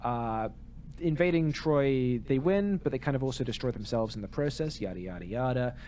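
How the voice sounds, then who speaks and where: A man's voice: mid-range voice, lively intonation, normal speed, a crowd in the background. A man co-hosting a podcast in casual chat, with a jokey, wry way of talking. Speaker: podcast co-host.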